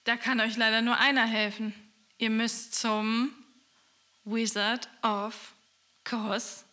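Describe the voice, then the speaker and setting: A woman's voice: on the high side, level tone, takes it slowly, bright, forceful tone. A woman rehearsing audio drama lines as an amateur voice actor, easily amused and apologetic between takes. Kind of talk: rehearsing script lines, outtakes.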